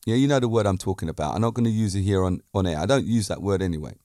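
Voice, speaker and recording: A man's voice: sits low, lively intonation, rapid-fire, mellow, dry recording, studio quality. A man hosting a podcast, giving a self-assured motivational monologue full of emphatic points and rhetorical questions. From Britain. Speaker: podcast host.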